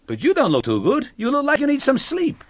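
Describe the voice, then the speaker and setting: A smug italian schemer, heavy italian accent, broken english, very dry sound. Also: male voice, extremely high pitch, wildly swooping pitch, brisk, no echo, heavily acted. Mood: fussy. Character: smug scheming Italian man, fussy parrot owner. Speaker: parrot owner. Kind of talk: sitcom acting.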